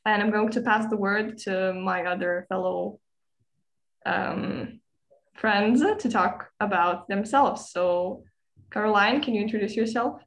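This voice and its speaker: A woman's voice: medium pitch, unhurried. Young woman hosting a video call chat. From Lithuania. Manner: friendly, hesitant with many ums. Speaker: podcast host. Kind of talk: casual online group chat.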